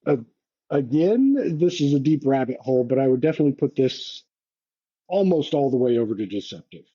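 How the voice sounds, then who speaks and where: Co-host, male: husky, measured and reflective, slightly dull lo-fi recording. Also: mid-range voice, very animated, dark tone. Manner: reflective, measured, self-analyzing. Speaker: podcast co-host. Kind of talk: casual podcast chat.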